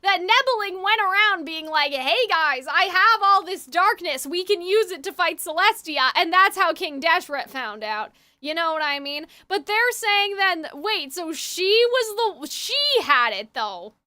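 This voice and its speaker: A woman's voice: extremely high pitch, lively intonation, unhurried, few pauses, sharp tone, no echo. A woman game streamer chatting casually with her viewers and thinking aloud as she reacts to a story.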